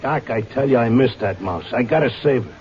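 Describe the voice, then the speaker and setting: A man's voice: mid-range voice, fairly quick, darkish tone, a little hiss or hum, close to an everyday voice. An actor playing a stubborn, devoted horse-race handicapper in a vintage radio drama, talking in slangy wise-guy style. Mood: determined. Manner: slangy, streetwise Broadway wise-guy talk. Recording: dull old radio recording with music.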